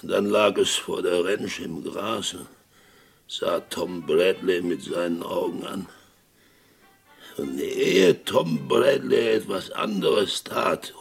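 Gravelly, fervent Western frontiersman. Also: low voice, exaggerated voice. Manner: slow, halting, dramatic storytelling with repetitions. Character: fervent male friend of a condemned man in a Western. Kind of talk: German radio play acting.

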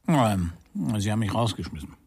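A man's voice: on the low side, a little fast, a little mellow, husky, dry recording. An actor playing a blunt, cynical male investigator in a radio crime drama, terse and probing. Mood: terse, pressing. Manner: terse, probing, hard-boiled.

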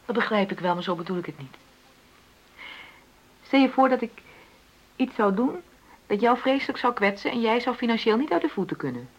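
A woman's voice: fairly high pitch, animated, fairly quick, pauses often, lo-fi sound. An actress playing a frustrated middle-aged wife in a radio play, insistently arguing for equality in her marriage. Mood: earnest. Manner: argumentative, insistent, reasoning. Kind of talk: naturalistic Dutch radio play dialogue.

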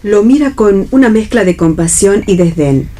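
Narrator for radio theatre: Argentine woman, mellow, soft-edged, scripted reading. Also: medium pitch, lively intonation, normal speed. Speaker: stage-direction narrator.

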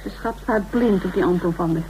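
A woman's voice: lowish voice, brisk, mellow, lightly acted. A woman playing a chatty, meddling go-between friend in a radio play. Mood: gossipy. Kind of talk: naturalistic Dutch radio play acting. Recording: dull radio play recording, faint noise.

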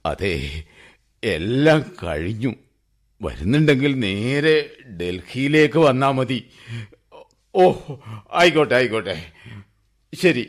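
Brief: an aging father, slow, heavy, tender. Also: medium pitch, very animated. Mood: measured. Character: grieving older father, gentle but broken.

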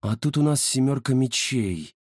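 A man voicing a sly, unflappable female fortune-teller who mutters in a deadpan way in a children's story. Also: lowish voice, normal speed, no room sound, studio quality. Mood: unruffled.

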